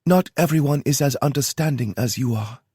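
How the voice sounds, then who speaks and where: A man voicing a calm, polite young woman who is a shapeshifter, speaking measured and kind. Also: medium pitch, slightly rough, no room sound, studio quality, pitched above the performer's own voice.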